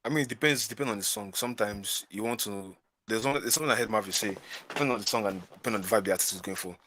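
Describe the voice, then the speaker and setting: A man with a Nigerian accent, a music producer guest in a casual group chat, talking quickly and repeating words as he thinks. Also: lowish voice, sharp tone, slight background noise. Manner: fast, repeats himself while thinking.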